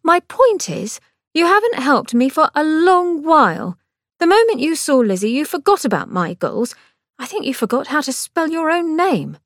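A woman's voice: high-pitched, big pitch swings, normal speed, dry recording, studio quality, voice pushed up. Woman playing a prim, indignant young lady. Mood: earnest. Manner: indignant, earnest.

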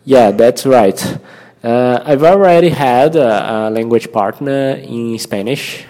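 A man from Brazil with a Brazilian Portuguese accent, hosting an informal English-learning lesson, haltingly searching for words and repeating himself. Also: medium pitch, dark tone. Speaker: language-learning podcast host.

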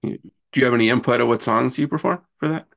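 A man's voice: medium pitch, quick pace, creaky, fairly bright tone. A middle-aged man hosting a casual interview, agreeable and chatty, often giving short acknowledgements. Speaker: interviewer, host.

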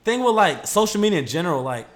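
A man's voice: high voice, big pitch swings, quick pace, a bit bright. A young man talking as a podcast guest in a laid-back, slangy way, swearing freely. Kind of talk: casual chat.

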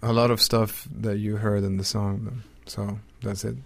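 A man from Egypt, a guest musician, talking softly and hesitantly about his songs on a radio show. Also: lowish voice, little pitch movement, moderate pace, creaky, dry recording. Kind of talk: casual radio interview. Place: radio studio.

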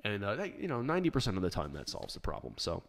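Hoarse man hosting a chatty podcast. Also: on the low side, wildly swooping pitch, fast talker. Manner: chatty and plainspoken, many ums and restarts. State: hoarse from shouting. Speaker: podcast host answering listener questions. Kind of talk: casual live podcast chat. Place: small home studio.

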